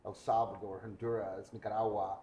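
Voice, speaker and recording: A man's voice: on the low side, flat delivery, fairly quick, warm tone, roomy sound, a little hiss or hum. A man from Guatemala hosting a podcast, chatting casually and telling anecdotes. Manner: conversational, tells anecdotes. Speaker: podcast host. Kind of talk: casual interview chat.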